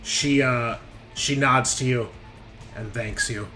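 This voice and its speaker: A man's voice: medium pitch, steady tone, slow, sharp tone. A man acting as game master, narrating a tabletop roleplaying game in a casual, profane, bantering way. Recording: music behind the voice. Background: background music.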